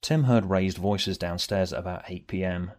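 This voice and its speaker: A man's voice: low-pitched, normal speed, darkish tone. A man reading a scripted story aloud as its narrator, with music behind him. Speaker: author and narrator.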